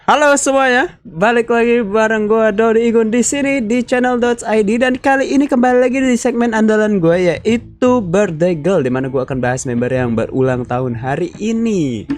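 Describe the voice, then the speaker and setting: A man's voice: sits high, moderate pace. A young man hosting a casual fan-commentary video, chatty and slangy, full of fillers and tag questions. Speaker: YouTube channel host.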